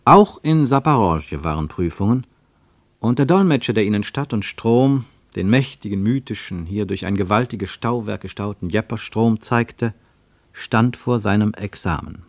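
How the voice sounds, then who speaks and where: An actor as the narrator of a literary radio play, telling a travel story in measured, rhythmic phrases. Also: male voice, lowish voice, expressive, no echo. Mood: measured, descriptive.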